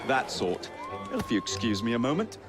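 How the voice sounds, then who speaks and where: An actor with an English accent playing a cocky young Victorian gang leader, wry and threatening, in a video game. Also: male voice, medium pitch, huge pitch swings, moderate pace. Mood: brisk. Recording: loud music behind the voice.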